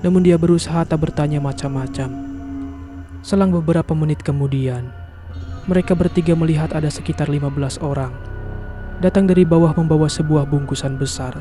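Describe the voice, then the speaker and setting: Higher-pitched male horror narrator over music. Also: steady tone, fairly quick, warm tone. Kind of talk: scripted horror story narration.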